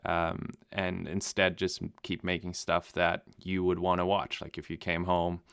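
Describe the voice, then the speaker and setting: Guy raised in namibia, german native, deep clear voice, lots of ums and restarts, dry mic. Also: unhurried, dry recording. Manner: relaxed, rambling with many fillers and restarts. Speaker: guest pilot. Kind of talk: casual interview answers.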